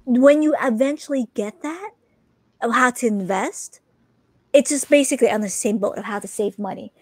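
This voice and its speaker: A woman in her thirties hosting a casual livestream, chatting in a rambling, animated way and repeating herself for emphasis. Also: fairly high pitch, normal speed, dry recording. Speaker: podcast host. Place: small room full of collectibles, indoors. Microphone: close desk microphone.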